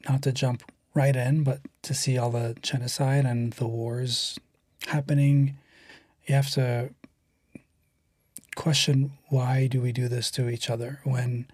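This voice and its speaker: A guest in their thirties from Lebanon, speaking slowly and thoughtfully, with a stutter that often shows as silent pauses. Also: medium pitch, steady tone, darkish tone, husky, no room sound, clean studio sound. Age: 30s. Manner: slow, measured and thoughtful. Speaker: guest, poet and writer. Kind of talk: reflective interview conversation.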